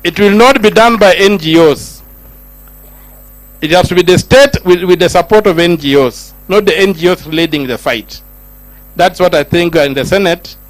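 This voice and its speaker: Kenyan man, high-pitched speech. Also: no echo, rough recording. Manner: switches between Swahili and English, rising emphatic lines. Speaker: senator giving a speech. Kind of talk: public speech at a gathering.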